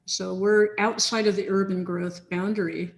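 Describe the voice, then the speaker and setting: A woman's voice: mid-range voice, little pitch movement, moderate pace. A woman chatting casually over a video call from her home office, rambling with lots of fillers and restarts. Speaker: software team researcher in a chain conversation. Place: home office with big sunny windows. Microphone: computer on a video call.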